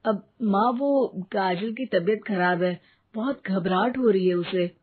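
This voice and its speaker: A woman's voice: medium pitch, a little slow, slightly rough. A woman voicing a skeptical, teasing adult son in a story, dismissive and joking, on a tinny recording. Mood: worried. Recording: tinny phone-like sound with faint noise.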